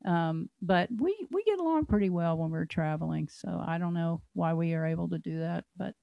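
A woman guest in an interview, easygoing and quick to laugh. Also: fairly low pitch, lively intonation, vocal fry, no room sound, muffled recording. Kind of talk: casual chat.

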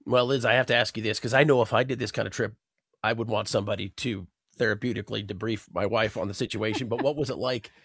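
A man's voice: lowish voice, steady tone, quick pace, fairly bright tone, breathy edge, no room sound, clean studio sound. A man hosting a radio show, playful and jokey as he talks with guests and introduces segments.

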